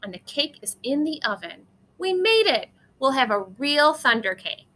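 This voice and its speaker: A woman plays an old farm grandmother in a storybook, steady and encouraging. The voice is bright and fairly high, with emphatic words. Faint noise sits behind it. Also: moderate pace, exaggerated voice. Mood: proud, encouraging.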